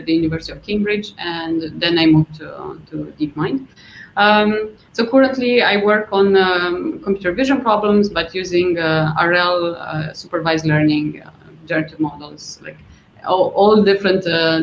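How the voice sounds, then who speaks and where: A woman from Romania, a research scientist answering panel questions in a thoughtful way, often pausing and repeating words. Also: fairly low pitch, creaky. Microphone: video call. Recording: echoey, muffled line with faint noise.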